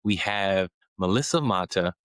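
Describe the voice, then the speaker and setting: A man's voice: low voice, unhurried, a bit bright, smooth, no echo. A man hosting a podcast, enthusiastic and praising his guest, often restarting and repeating words. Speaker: podcast host. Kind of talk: interview conversation.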